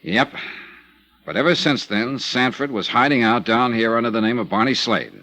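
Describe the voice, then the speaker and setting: An actor playing a hard-boiled insurance investigator in a vintage radio drama, wry, terse and probing. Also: male voice, mid-range voice, normal speed, sharp tone, lightly acted. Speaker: narrator and investigator. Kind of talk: 1950s radio drama acting.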